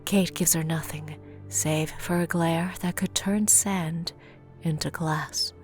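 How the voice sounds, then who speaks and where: A woman's voice: low-pitched, fairly even intonation, slow pace, faint music behind. A woman reading scripted dramatic narration for an audio drama.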